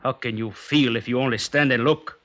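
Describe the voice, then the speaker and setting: An actor playing a proud, brooding Mexican guitarist with a Mexican Spanish accent, in a 1950s radio drama. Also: male voice, medium pitch, steady tone, a bit bright, muffled recording, lightly acted. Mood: scornful. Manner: slow, grave, challenging. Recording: vintage 1950s radio broadcast.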